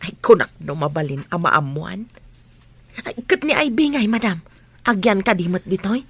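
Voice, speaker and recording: A woman's voice: mid-range voice, very animated, normal speed, slightly rough, no room sound. A woman acting a chatty, nosy household helper in a radio comedy drama, inquisitive and exclaiming. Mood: curious, concerned.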